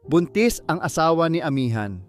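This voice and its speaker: Male audiobook narrator, slightly high pitch, dramatic scripted delivery, faint music underneath. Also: big pitch swings, normal speed.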